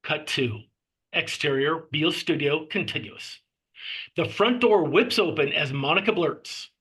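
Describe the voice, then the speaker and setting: A man reading screenplay stage directions as narrator, with measured phrasing and clipped scene headings. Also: fairly high pitch, lively intonation, fairly bright tone, husky.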